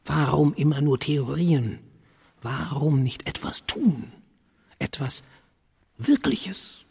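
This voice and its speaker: An actor playing a cynical, urgent older intellectual mentor in a radio play, persuading insistently with a husky, gravelly voice. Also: male voice, fairly high pitch, expressive, takes it slowly, darkish tone, heavily acted. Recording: long reverberant hall.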